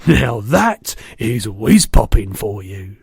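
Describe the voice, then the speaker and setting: A man acts out a big, friendly old storybook giant with a gravelly, swooping voice. He is exuberant and quick to indignation, punching out his mangled words with great emphasis. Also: lowish voice, big pitch swings, no echo, studio quality, pitched below the performer's own voice, full character voice. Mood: gleeful.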